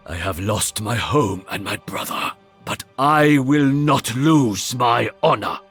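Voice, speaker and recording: An actor playing a proud, stern master archer in a video game, with a Japanese accent and a formal, haughty delivery. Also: male voice, mid-range voice, animated, takes it slowly, fairly bright tone, faint music behind. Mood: solemn, resolute. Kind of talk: video game character voice lines.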